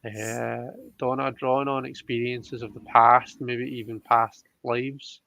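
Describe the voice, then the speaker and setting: A man's voice: mid-range voice, little pitch movement, slow pace, gravelly, bright, forceful tone. A man hosting a casual live card reading, chatting with a guest and viewers and thinking aloud in a hesitant, repetitive way.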